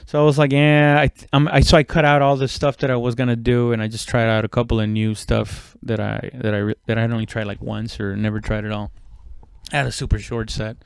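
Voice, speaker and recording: Male comic podcaster. Smooth, clean voice, dry close sound, informal halting solo ramble with loose swearing. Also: medium pitch, level tone, no echo. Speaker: stand-up comedian hosting own podcast. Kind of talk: casual rambling solo chat.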